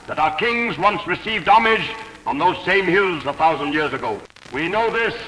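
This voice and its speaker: A man delivering formal political oratory in a solemn, declamatory manner with deliberate rhetorical pauses. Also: high-pitched, sharp tone. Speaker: orator reading a translated historical political speech. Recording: echoey large hall, faint noise.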